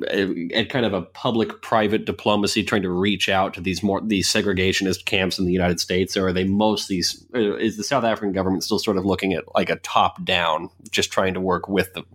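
Deep-voiced man asking hesitant questions. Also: fairly even intonation, a little fast, fairly bright tone. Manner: hesitant, stammering restarts. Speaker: interviewer, fellow researcher. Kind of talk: academic interview questions.

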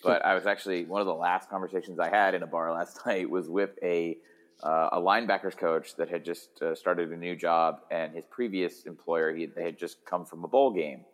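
A man hosting a sports podcast, hoarse and hungover, rambling casually with a sardonic streak. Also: sits low, steady tone, normal speed. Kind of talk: casual football podcast chat.

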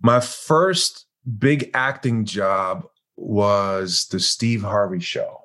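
Deep-voiced German-accented man, halting. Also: a bit bright. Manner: halting, many restarts. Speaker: actor guest. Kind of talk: casual interview.

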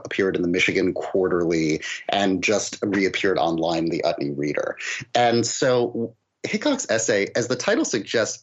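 Low-voiced man, measured literary guest. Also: husky. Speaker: guest, poet and critic. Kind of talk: explanatory literary discussion. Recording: dull, lo-fi sound.

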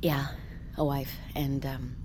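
A woman playing a tough, blunt deep-sea diver in a naturalistic audio drama, casual and weary. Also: little pitch movement, slow, noisy background. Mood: weary, frank.

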